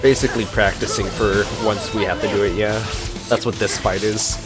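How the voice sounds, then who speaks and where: A man's voice: lowish voice, fairly even intonation, moderate pace. A man giving casual, unscripted gameplay reaction commentary as a streamer, musing aloud as he plays. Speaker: gaming streamer. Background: game music.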